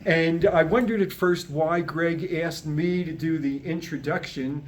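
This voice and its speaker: A man's voice: fairly high pitch, unhurried. An older man giving an informal, folksy and humorous introduction on stage, chatting with a live audience. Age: 60s or older. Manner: folksy, joking, talks with the audience. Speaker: master of ceremonies introducing a show. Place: on stage at a podium before a small live audience.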